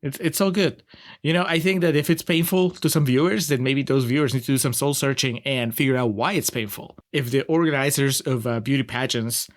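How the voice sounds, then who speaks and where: A man's voice: medium pitch, steady tone, fairly quick. Laughing man with a Peruvian accent. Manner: wry, laughs often, sarcastic asides. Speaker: podcast co-host. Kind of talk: casual film review chat.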